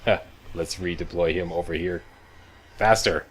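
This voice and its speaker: Low-voiced man doing casual game commentary. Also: moderate pace, a bit bright, slight background noise. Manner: folksy, wisecracking asides. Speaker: video game walkthrough host.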